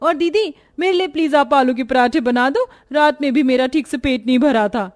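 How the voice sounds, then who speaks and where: A woman puts on a whiny young female relative from a cartoon family story. She is high-voiced and demanding. Her lines come out as complaints and pleas. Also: moderate pace, soft background music, lightly acted. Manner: complaining, pleading.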